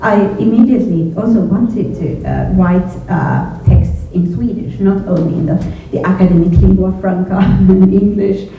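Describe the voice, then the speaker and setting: A middle-aged native Finnish woman with a Finnish accent, answering on a panel in a halting, thoughtful way and often repeating words. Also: medium pitch. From Finland. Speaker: panelist, journalism educator. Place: echoey indoor event room with a panel. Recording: echoey room from far off, phone-like, faint noise.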